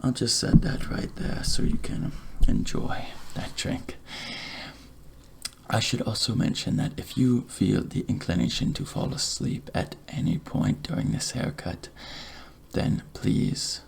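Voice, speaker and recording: A man plays a courteous barber in an ASMR roleplay. His deep voice is hushed and full of gravelly creak, often sinking into a whisper. He takes his time, speaking slowly and gently. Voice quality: breathy, hushed, half-whispered delivery. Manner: gentle, courteous, unhurried reassurance. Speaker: ASMR creator playing a night-shift barber.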